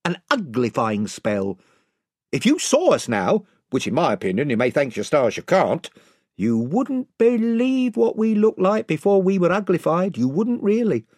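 A man's voice: high-pitched, sing-song, measured pace, darkish tone, breathy edge, no room sound, clean studio sound, voice pushed up, heavily acted. A man voicing a self-important, rambling chief of simple folk in a storybook, with a folksy manner. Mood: rambling, earnest. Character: pompous, rambling, rustic invisible chief, male.

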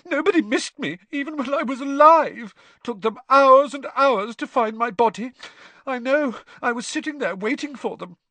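A man voicing a glum, touchy teenage girl ghost in a storybook, with a shrill, wailing, self-pitying delivery. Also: sharp tone, dry recording. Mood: aggrieved, self-pitying. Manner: wailing, drawn-out, mournful delivery.

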